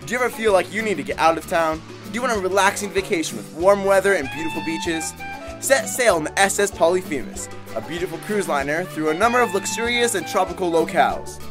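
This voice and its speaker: A man plays a booming cruise advertisement announcer in a radio play. His voice sits high for a man and pushes the sale eagerly, with music underneath. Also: lively intonation, quick pace. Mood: hard-sell enthusiasm. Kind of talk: vintage radio commercial.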